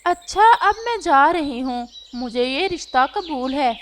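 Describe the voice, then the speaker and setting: A woman's voice: high voice, expressive, unhurried, a little hiss or hum. A woman voicing a demanding older mother-in-law bird in a Hindi cartoon, imperious and fussy. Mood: bossy. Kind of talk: Hindi cartoon character acting.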